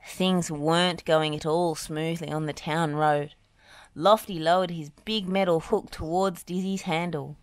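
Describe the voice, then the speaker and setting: A woman reading a children's storybook aloud in an expressive, deliberate way. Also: sits low, no echo. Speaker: audiobook reader.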